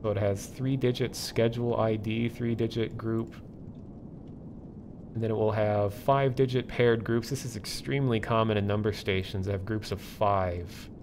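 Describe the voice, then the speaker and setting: A man hosting a livestream, explaining things casually and off the cuff in a halting, thinking-aloud way. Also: lowish voice, steady tone, noisy background. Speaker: livestream host. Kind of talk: casual live explainer, unscripted.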